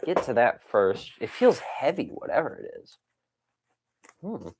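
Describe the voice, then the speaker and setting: A man's voice: mid-range voice, huge pitch swings, measured pace, gravelly, breathy edge. A man hosting a casual livestream, chatting playfully with viewers, reading messages aloud and wandering into exclamations and asides. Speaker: livestream host of a toy dinosaur collecting channel.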